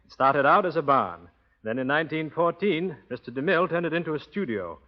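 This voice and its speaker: A man's voice: fairly high pitch, animated, brisk. An American man giving a scripted promotional talk as a guest expert on 1930s radio. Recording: muffled old radio broadcast.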